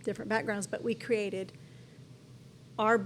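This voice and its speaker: A woman's voice: medium pitch, little pitch movement, unhurried. Middle-aged woman, pastor being interviewed, earnest casual talk, mid-range voice, faint background hum. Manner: warm and earnest, with frequent restarts and "you know". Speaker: pastor and guest.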